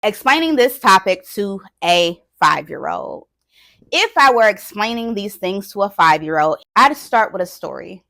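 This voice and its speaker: A woman hosting an educational talk as an experienced teacher, speaking in an expressive, emphatic way. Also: medium pitch, a little slow, creaky, no echo. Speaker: host and experienced early-years educator.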